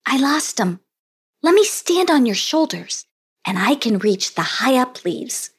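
A woman's voice: high-pitched, big pitch swings, unhurried, pitched above the performer's own voice, heavily acted. A woman doing a sweet, eager young girl. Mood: hopeful. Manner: sweet and friendly. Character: curious, sweet-natured young girl adventurer. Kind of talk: storybook reading.

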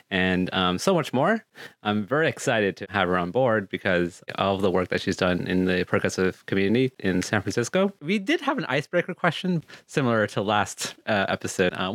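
A man's voice: on the low side, fairly bright tone, dry recording. A man hosting a podcast, introducing a guest hesitantly with many fillers. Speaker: podcast host. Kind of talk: show introduction.

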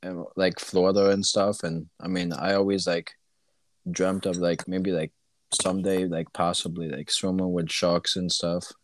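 A man's voice: low-pitched, little pitch movement, moderate pace, a little hiss or hum. A young man interviewing guests, hesitant, filling his speech with "like" and "um". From upstate New York. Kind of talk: casual interview.

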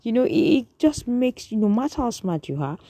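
A woman's voice: fairly high pitch, very animated, brisk, mellow, no room sound, clean studio sound. A Nigerian woman giving an earnest, lecturing opinion monologue to an audience, often restarting her sentences.

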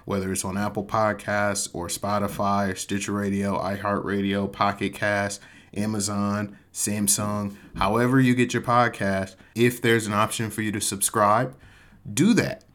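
Chill guy in his 30s hosting a podcast, low-ish voice a bit rough from being sick, easygoing and jokey. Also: level tone, moderate pace, fairly bright tone. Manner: laid-back, chatty, says "man" a lot, self-mocking. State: voice still a little off after illness. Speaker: podcast host. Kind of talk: casual solo podcast talk.

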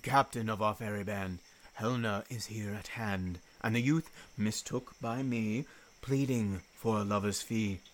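A man's voice: lowish voice, unhurried, lightly acted. A man playing a mischievous, gleeful fairy servant in a Shakespearean verse radio play, with a playful theatrical manner. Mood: amused, mischievous. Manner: theatrical, playful, laughing delight in others' folly.

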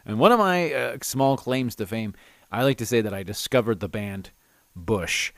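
A middle-aged man, hosting a solo podcast with casual, animated, self-amused stories and rants. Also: on the low side, moderate pace, no room sound, clean studio sound. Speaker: podcast host. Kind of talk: casual solo storytelling and ranting.

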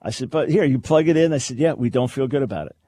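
An American male talk radio host with a mid-pitched voice, recorded close and dry. He speaks off the cuff in a conversational way, putting questions to the listener and voicing little examples as he explains. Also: fast talker, warm tone, dry recording. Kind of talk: unscripted talk radio commentary.

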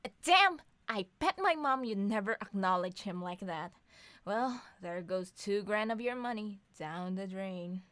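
Actress as sardonic young mother for radio play, teasing, medium-pitched, very dry studio sound. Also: lively intonation, a little slow, a bit bright, no echo. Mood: mischievous. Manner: mocking, dry sarcasm. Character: sarcastic, teasing young mother needling her partner. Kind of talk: two-hander audio drama reading.

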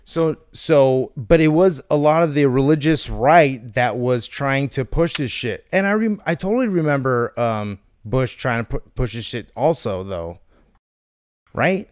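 A man in his thirties talking politics casually, animated and questioning, with some swearing, as a podcast host. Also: medium pitch, measured pace, dry recording, studio quality. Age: 30s.

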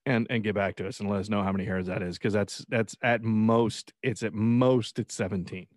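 Low-voiced man, rambling podcast co-host. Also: fairly even intonation, brisk, no echo, studio quality. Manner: rambling, teasing, swears casually. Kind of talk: casual banter.

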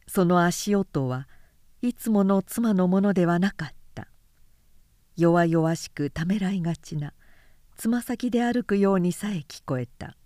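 A woman reading a literary story aloud as narrator, in measured scripted storytelling. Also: medium pitch, warm tone, dry recording, studio quality.

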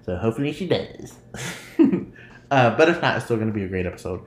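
A man's voice: lowish voice, very animated, fast. Nasal, giggly podcast host, man. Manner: rambling, giggly, laughs often. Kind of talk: casual solo podcast chat. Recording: roomy echo, faint noise.

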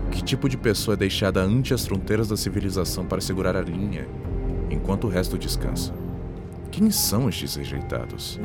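A man reading a scripted dark horror prologue in a solemn, dramatic way. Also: low voice. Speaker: narrator. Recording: loud music under the voice. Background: loud music.

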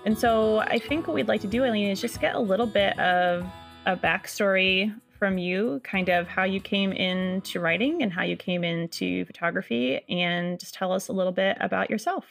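A woman's voice: medium pitch, normal speed, vocal fry, fairly bright tone, faint music behind. A woman hosting a podcast, reading an upbeat introduction and leading an interview. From central Massachusetts. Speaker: podcast host.

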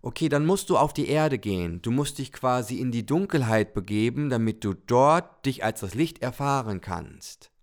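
A man voicing a calm, kindly male God in a podcast story, gently explaining things. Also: medium pitch, moderate pace, hardly any pauses, close to an everyday voice.

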